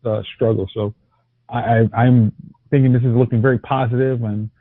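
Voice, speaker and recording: A man's voice: mid-range voice, little pitch movement, normal speed, dry recording. A man co-hosting a podcast, chatting casually about TV, often stumbling and restarting his sentences. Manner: hesitant, many restarts and fillers. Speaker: podcast co-host. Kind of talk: casual TV review chat. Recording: tinny phone-like audio.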